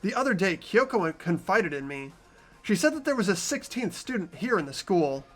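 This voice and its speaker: A man's voice: high-pitched, big pitch swings, normal speed, a bit bright, faint music behind, heavily acted. A man playing an anxious, bewildered schoolboy in a fan-voiced visual novel, hesitant and earnest.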